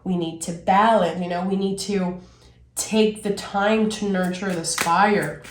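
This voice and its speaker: A woman with a raspy, hoarse voice talks through a tarot spread at an unhurried pace. Her delivery is gentle and reflective, and the sound rings around an echoey room. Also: mid-range voice, steady tone. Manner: slow, soothing, reflective delivery. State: recovering from illness, hoarse. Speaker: tarot reader. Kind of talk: spoken tarot reading.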